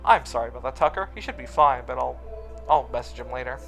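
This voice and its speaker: A man's voice: mid-range voice, animated, fast talker, vocal fry, fairly bright tone. Casting: man as genial furry alien scientist, visual novel, precise and courteous explainer, music behind. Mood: apologetic. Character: cheerful, scholarly furry alien scientist, male doctor. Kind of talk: visual novel character reading. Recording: music under the voice.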